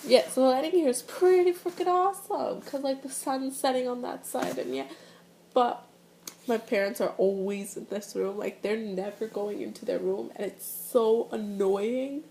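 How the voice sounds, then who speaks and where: A young woman with a fairly high voice and a stuffy, sniffly nose talks casually to her camera from her living room. She rambles along, full of fillers and restarts. A faint hiss of room ambience sits behind her. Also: normal speed, dark tone, low-quality recording. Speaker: vlogger. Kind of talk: casual chat, reaction review. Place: living room at home, indoors.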